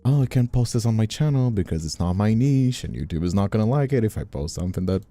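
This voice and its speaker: Very deep, dark, gravelly man's voice. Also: expressive, fast talker. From Brazil. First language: Portuguese. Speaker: streamer and voice actor, hosting. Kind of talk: casual livestream chat.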